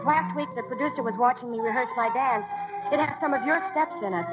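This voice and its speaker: Woman acting young dancer, high, lively, indignant, 1940s radio play, dull old broadcast with music. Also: quick pace, natural voice. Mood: earnest. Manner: indignant, emphatic. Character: proud, spirited young female vaudeville dancer. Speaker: actress.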